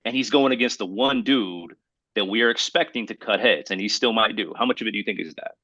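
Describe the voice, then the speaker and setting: A man's voice: fairly low pitch, fast, pushed and bright, no room sound. A man hosting a casual hip-hop podcast debate, speaking in an emphatic, slangy way and repeating himself for emphasis. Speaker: podcast host.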